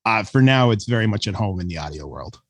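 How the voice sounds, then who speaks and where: A man's voice: on the low side, expressive, fast, fairly bright tone, dry recording. A man speaking as a guest sound designer, talking enthusiastically and conversationally in an interview.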